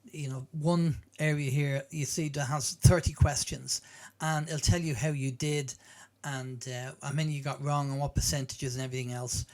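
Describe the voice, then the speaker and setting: A man giving an informal, plain-spoken walkthrough as a presenter, explaining step by step and often repeating himself. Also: on the high side. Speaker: presenter demonstrating web apps.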